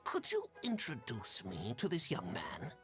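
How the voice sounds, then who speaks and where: Avuncular old gentleman, gravelly, very animated intonation, courtly, deliberate, fantasy game dialogue. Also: mid-range voice, faint music behind. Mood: genial, approving. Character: fatherly old mentor and genteel patron, an older gentleman.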